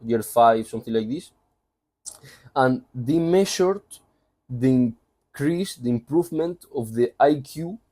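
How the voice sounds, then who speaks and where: Spanish-accented guy chatting through a book summary, mellow voice, rambly asides. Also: medium pitch, expressive, slow, pauses often. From Spain. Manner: loose, conversational explaining with many asides. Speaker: book reviewer. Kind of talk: casual book summary talk.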